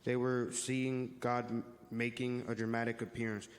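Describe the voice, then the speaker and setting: A man's voice: medium pitch, level tone, moderate pace, close to an everyday voice. A young man playing a friendly churchgoing teenage boy who explains things in a reader's theater, in an echoey hall. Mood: friendly, explaining. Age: teenager or young adult.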